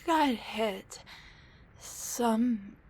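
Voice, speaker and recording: A woman playing a sassy, weary female supervillain in a roleplay audio drama, talking in a casual, wry, halting way straight to the listener. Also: fairly high pitch, a little mellow, breathy edge, full character voice. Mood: groggy, weakened.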